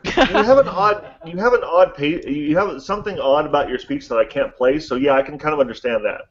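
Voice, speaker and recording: High-voiced teasing man. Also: fairly quick, lo-fi sound. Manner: playful, teasing with mock arguments. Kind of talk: casual group chat.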